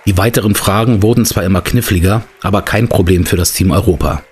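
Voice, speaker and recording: Low-voiced audio drama narrator. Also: male voice, fast talker, slightly rough, soft background music, a faint crowd. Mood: composed. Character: composed male storyteller of an adventure audio drama. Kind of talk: scripted narration.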